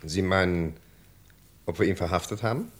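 A man's voice: deep voice, moderate pace, lightly acted. A man playing a dutiful, insistent police sergeant in a radio crime play, clipped and deferential. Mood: deferential, urgent.

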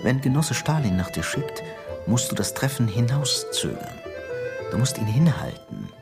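An actor playing a scheming Soviet secret police chief in a German radio drama, coaxing and menacing in an insinuating way. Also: male voice, mid-range voice, big pitch swings, normal speed, gravelly, over music. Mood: conspiratorial.

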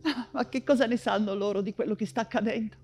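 An actress playing an anguished woman writer and mother fleeing persecution in a wartime stage monologue, theatrical and halting, with anxious repetitions. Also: fairly high pitch, a little mellow, soft background music. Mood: fearful, sorrowful.

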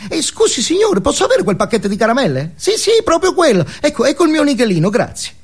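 A man playing an eager, high-voiced little boy. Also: very animated, fast, slightly rough, heavily acted. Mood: pleading. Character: eager, wheedling little boy begging for candy. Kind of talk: theatrical solo monologue.

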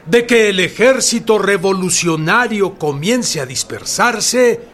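An actor playing an earnest revolutionary leader addressing his troops in a deliberate, declamatory radio drama style. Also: high-pitched, lively intonation, heavily acted. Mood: solemn, urgent. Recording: loud crowd behind the voice.

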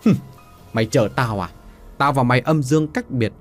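A man's voice: medium pitch, moderate pace, faint music behind, natural voice. A man voicing a cruel, scheming older woman who barks harsh orders and sneers. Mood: harsh commanding.

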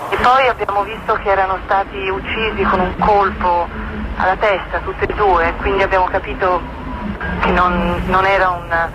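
Noisy archive news audio of a man being interviewed. His voice is high and very flat, and he talks haltingly, restarting words as he recounts events. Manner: halting, stammering repeats. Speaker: witness interviewed. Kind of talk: spontaneous interview account.

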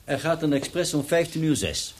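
An actor playing an obliging, businesslike Paris barman in a radio play, speaking in polite, brisk service talk. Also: on the high side, lively intonation, a little hiss or hum, lightly acted. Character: obliging, businesslike male Paris bar waiter.

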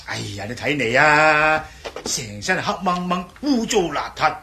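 Flustered old servant, animated, noisy recording. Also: male voice, fairly high pitch, measured pace, exaggerated voice. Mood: startled, fussing. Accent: Hong Kong Cantonese. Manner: flustered, stammering, repeating himself. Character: timid, superstitious old male household servant. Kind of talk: Cantonese radio drama acting.

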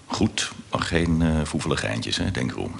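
Very deep, gravelly prison guard. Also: male voice, expressive, normal speed, slight background noise, exaggerated voice. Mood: wary. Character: gruff, wary, corrupt male prison guard. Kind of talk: radio drama acting.

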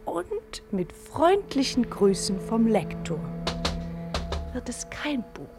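Prickly, high-voiced woman. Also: very animated, slow pace, exaggerated voice. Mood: brooding. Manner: curt, evasive replies. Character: restless, guarded female night-shift worker, sleepless and prickly. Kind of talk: German radio drama acting. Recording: music behind the voice.

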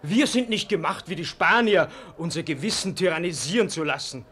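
A man's voice: fairly high pitch, animated, a little fast, a bit bright. An actor playing a hearty, outspoken townsman, emphatic and blunt, in a 1940s German radio play. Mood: defiant. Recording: vintage 1949 radio drama recording.

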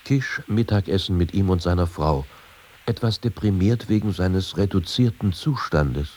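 Man, very low mellow voice, deliberate literary narration, scripted. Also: expressive. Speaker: reader of quoted accounts.

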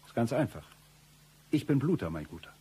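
A man's voice: medium pitch, very animated, a little fast, mellow, breathy edge. An actor playing a shady, eccentric former professor turned hitman, chatty and self-important, in a satirical radio play. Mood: boastful.

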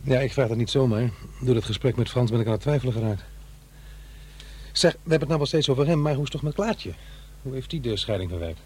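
A man's voice: medium pitch, lively intonation, fast talker, a little mellow, natural voice. An actor playing a regretful, lonely middle-aged divorced father in a radio play, speaking hesitantly and searching for words. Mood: hesitant, doubting. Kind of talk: Dutch radio drama acting. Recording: noisy, humming radio play recording.

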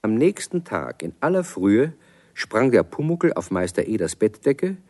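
A man's voice: on the low side, very animated, a little slow, a little mellow. A man narrating a scripted children's story in a radio play.

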